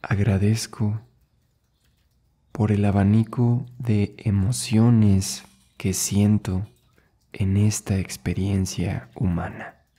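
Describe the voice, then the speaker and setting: Man, meditation instructor, dark mellow tone, husky, soothing, short spaced-out phrases. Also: lowish voice, flat delivery. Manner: soothing, unhurried, short phrases with long pauses. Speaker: yoga and meditation instructor, host. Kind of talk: guided meditation.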